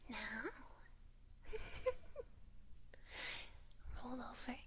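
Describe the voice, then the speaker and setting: Casting: woman as evil witch for ASMR roleplay, breathy whisper, very unhurried, coaxing, fond yet faintly menacing. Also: high voice, sing-song, heavily acted. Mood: coaxing, amused. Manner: slow, coaxing, softly menacing. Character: evil witch, doting and teasing toward her new familiar.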